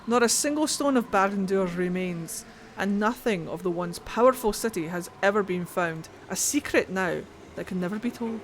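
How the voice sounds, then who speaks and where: A woman's voice: medium pitch, lively intonation, moderate pace, few pauses, a little hiss or hum, poor audio. A woman with a Scottish accent streaming a game, reading in-game lore aloud and chatting casually with her viewers, swearing freely. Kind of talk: casual gameplay commentary and dramatic codex reading.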